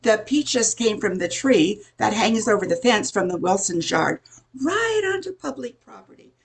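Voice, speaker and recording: An older woman playing a middle-aged busybody neighbor in an amateur radio play, chatty, insinuating and judgmental, with a husky, creaky voice. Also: mid-range voice, heavily acted. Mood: disapproving.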